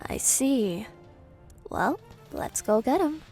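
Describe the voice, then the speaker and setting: A woman voicing a coy, alluring assistant posing as an escort in a cartoon, soft-spoken. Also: sits high, very animated, slow pace, breathy edge, faint music behind, full character voice. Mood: cool.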